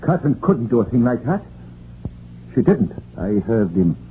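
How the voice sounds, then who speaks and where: Older Welsh miner male actor, vintage radio play. Solemn, principled, halting; dark voice, swinging intonation, hissy recording. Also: medium pitch, very animated, lightly acted, rough recording. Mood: solemn, troubled. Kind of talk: 1950s radio drama acting.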